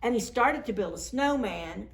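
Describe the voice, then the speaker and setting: High-voiced woman reading to preschoolers. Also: lively intonation, normal speed, gravelly. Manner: playful, acts out sound words. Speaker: children's storytime reader. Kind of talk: expressive picture-book read-aloud for preschoolers.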